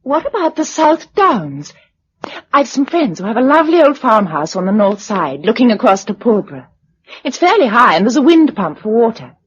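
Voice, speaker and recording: A woman acting in a British radio drama as a spirited, wry young novelist surviving a catastrophe, with a light, expressive manner and a British accent. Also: on the high side, moderate pace, husky. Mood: thoughtful, practical. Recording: dull lo-fi radio recording.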